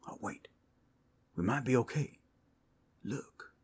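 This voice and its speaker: A man's voice: mid-range voice, lively intonation, takes it slowly, husky, full character voice. A man playing an easygoing, protective werewolf companion in an ASMR roleplay, talking in a casual, teasing way. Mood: hushed, teasing.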